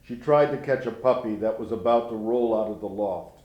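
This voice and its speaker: A man's voice: fairly low pitch, moderate pace, highs cut off, natural voice. An actor playing a grieving older grandfather who speaks in a folksy, rueful way in a dramatic monologue. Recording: roomy echo.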